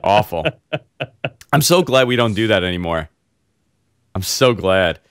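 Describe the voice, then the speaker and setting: A man hosting a casual tech podcast, hoarse and congested, telling long rambling stories full of fillers. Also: fairly low pitch, a little slow, dry recording, clean studio sound. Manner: rambling, animated storyteller with many fillers. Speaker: host.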